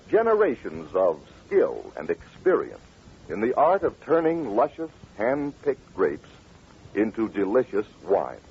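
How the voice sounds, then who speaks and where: A man's voice: fairly low pitch, husky. A man announcing and hosting in a scripted 1940s radio style, with a polished, salesmanlike delivery. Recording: muffled old radio recording.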